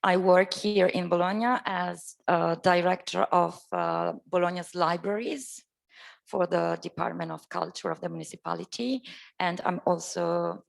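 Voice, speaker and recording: Italian female director, fry in the voice, hesitant intro. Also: fairly low pitch, fairly even intonation, a little slow. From Italy. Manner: hesitant, frequent fillers. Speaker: library and foundation director. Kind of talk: roundtable self-introduction. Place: meeting room at a foundation.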